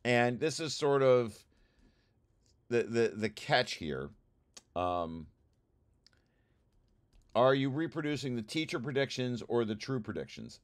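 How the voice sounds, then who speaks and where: Male presenter, mid-pitched, for an informal technical walkthrough; thinks aloud haltingly, repeating words as he explains. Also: fairly bright tone. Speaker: presenter reviewing own technical paper.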